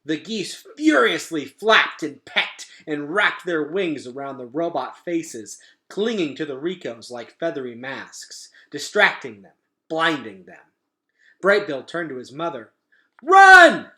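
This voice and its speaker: A man reading a children's story aloud as narrator, in an expressive storytelling style. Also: a little high, measured pace.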